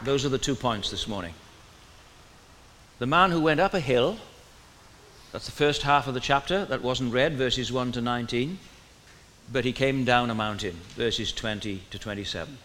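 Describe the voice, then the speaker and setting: A man's voice: medium pitch, normal speed, fairly bright tone, big-room sound, a little hiss or hum. A man with a Welsh accent preaching a sermon in a conversational way, often asking his listeners questions.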